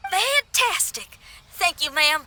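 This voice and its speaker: A woman's voice: very high-pitched, sing-song, takes it slowly, heavy background noise, heavily acted. A woman voicing a breezy, confident young female adventurer in a video game, with a folksy, casual, spirited manner. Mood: upbeat.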